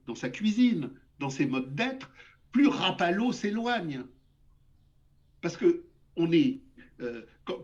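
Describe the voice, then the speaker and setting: An older man holds forth as an interview guest, his voice pitched fairly high for a man. He lectures with emphasis, stacking up examples and repeating words as he drives his points home. The audio sounds dull and lo-fi. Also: darkish tone. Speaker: guest essayist. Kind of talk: interview discussion.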